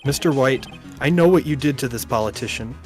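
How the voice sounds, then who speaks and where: Guy doing a earnest young lawyer in a video game, normal pitch, dogged and a bit dramatic, quiet music under. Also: brisk, lightly acted. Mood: accusing, forceful. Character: earnest young male defense lawyer, doggedly accusing and musing. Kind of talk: amateur video game dub.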